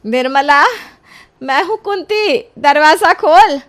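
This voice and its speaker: A woman acts out an older mother in an audio story. She sounds high and emphatic, familiar and caring in manner, recorded very dry. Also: animated, slow pace, a bit bright, no room sound, full character voice. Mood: calling out. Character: caring older mother visiting her ailing friend.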